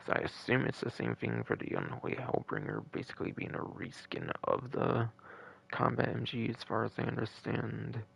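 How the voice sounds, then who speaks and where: A man's voice: fairly low pitch, huge pitch swings, moderate pace, creaky, a bit bright, husky, low-quality recording. A man hosting a gaming live stream with casual, rambling, repetitive commentary and the odd swear word. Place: a room at home.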